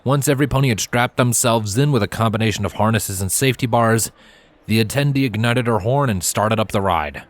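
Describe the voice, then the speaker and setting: A man's voice: lowish voice, a little fast, no echo. A man reading scripted story narration as the narrator.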